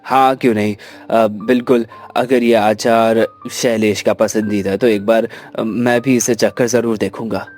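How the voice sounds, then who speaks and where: A man's voice: lowish voice, level tone, a little slow, darkish tone, soft background music, close to an everyday voice. A man voicing a friendly, effusive young family friend who loves food, in a cartoon story. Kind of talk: Hindi moral cartoon story acting.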